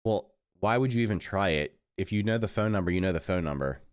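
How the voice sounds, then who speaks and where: A middle-aged man with a deep, congested voice chats casually to his livestream audience. He rambles and trips over his own sentences. The sound is dry and close, with no room around it. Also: lively intonation, fast, clear, resonant tone, dry recording. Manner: rambling, self-correcting, mutters while busy. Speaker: livestreaming gamer. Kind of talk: casual live stream chat.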